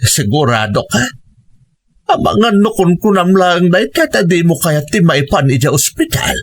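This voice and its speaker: A man's voice: high voice, sing-song, normal speed, slight background noise. A man with an Ilocano accent acting a dutiful, long-suffering grown son in a radio drama, earnest and plaintive. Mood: worried. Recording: music bed under the voice.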